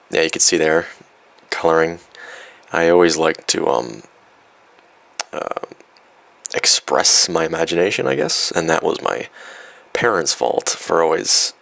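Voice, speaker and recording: A young man, raspy from a cold, talking unscripted in a rambling, self-mocking way with lots of fillers. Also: a bass voice, fairly bright tone, dry recording. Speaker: video creator. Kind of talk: unscripted vlog.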